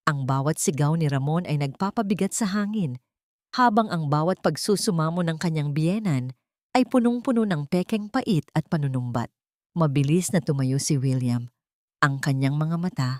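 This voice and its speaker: A woman's voice: sits low, a little mellow, no room sound, clean studio sound. A woman narrating a scripted romantic audio drama in a dramatic storytelling style.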